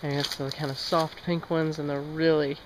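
A young man with a slightly high voice talks his way along an outdoor trail for his travel vlog. He muses out loud and drops wry asides, recorded on the camera's own mic. Steady outdoor noise sits under his voice. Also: normal speed, rough recording. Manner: chatty, musing, wry asides. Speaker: travel vlogger. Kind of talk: casual first-person travel narration. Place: outdoors on an overgrown trail and railway line. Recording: outdoor camera audio with clear ambient noise.

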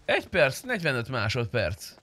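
A man's voice: mid-range voice, huge pitch swings, sharp tone, dry recording. A young man who streams roleplay gaming, chatting casually with blunt, sweary banter. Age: young adult. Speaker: streamer playing online roleplay game.

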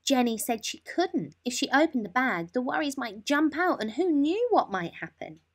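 Expressive woman reading a bedtime story. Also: high-pitched, moderate pace.

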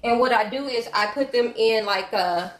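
A woman's voice: fairly high pitch, moderate pace. A woman, chatting to her viewers while she cleans at home, easygoing and gossipy, calling them y'all. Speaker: vlogger. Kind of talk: casual chat while cleaning. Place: home living room with hardwood floor. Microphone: camera set up across the room. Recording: echoey room.

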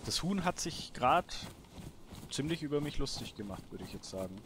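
A man's voice: medium pitch, big pitch swings, normal speed. Man muttering casual gameplay commentary over noise. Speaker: gaming let's player.

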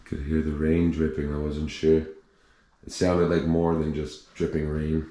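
Man, deep and dark-toned, thinks aloud on a house walkthrough; roomy echo. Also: monotone, measured pace. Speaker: urban explorer vlogger. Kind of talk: casual walkthrough narration. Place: empty abandoned house indoors. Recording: echoey empty rooms, faint hiss.

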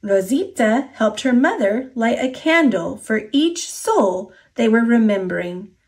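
A woman with an American accent reads a children's story aloud in an expressive, deliberate storytelling manner. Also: a little high.

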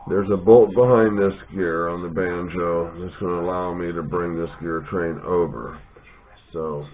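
A man's voice: low voice, steady tone, unhurried. A man demonstrating gear setup in his workshop, thinking aloud as he works and trailing off mid-sentence. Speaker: hobby machinist. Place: home workshop, indoors. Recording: tinny, phone-like sound.

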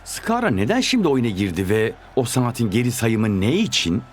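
An actor playing a plucky, teasing young football hero in a Turkish cartoon dub. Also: male voice, mid-range voice, sing-song, normal speed, a little hiss or hum, close to an everyday voice. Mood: determined.

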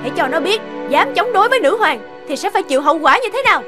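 A woman's voice: very high-pitched, lively intonation, very fast. A woman voicing a haughty, spoiled and cruel cartoon queen, imperious and threatening. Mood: furious. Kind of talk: cartoon villain acting. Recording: background music under the voice.

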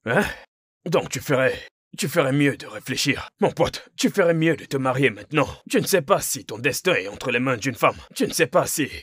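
A teasing, lecturing friend. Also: male voice, medium pitch, animated, normal speed, fairly bright tone, husky, no echo, clean studio sound. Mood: mocking. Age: 30s. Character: mocking, lecturing young male friend. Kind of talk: French film dub.

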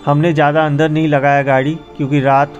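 A man with a fairly high voice talks viewers through a foggy, windy mountain pass in a casual travel vlog. He explains practical tips in a chatty, direct way, and music plays clearly behind him. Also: little pitch movement, quick pace, highs cut off. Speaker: travel vlogger.

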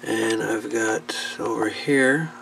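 A man giving casual, unscripted narration at a workbench in a halting, muttering way as he works. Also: on the low side, steady tone, creaky. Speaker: hobbyist host of radio repair videos. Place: indoor workbench. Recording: noisy home-camera audio with clicks.

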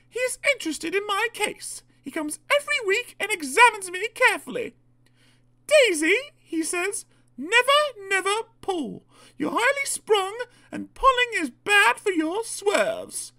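A woman's voice: very high-pitched, sing-song, a little slow, sharp tone, voice pushed up, full character voice. A woman voicing a vain, fussy female diesel rail car in a theatrical, self-important storybook manner. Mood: smug, self-important.

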